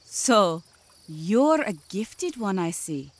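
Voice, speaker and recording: A woman's voice: medium pitch, big pitch swings, slow. A woman playing a stately, helpful elder of magical water folk, speaking formally and grandly in a fantasy comedy audio drama. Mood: solemn, gracious. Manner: formal, grandly archaic diction.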